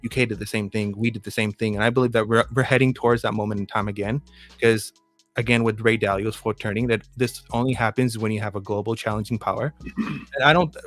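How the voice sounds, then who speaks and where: A man's voice: lowish voice, fairly even intonation, quick pace, fairly bright tone, faint music behind. A man co-hosting a casual live stream chat, talking in an earnest, emphatic way with some swearing. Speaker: co-host.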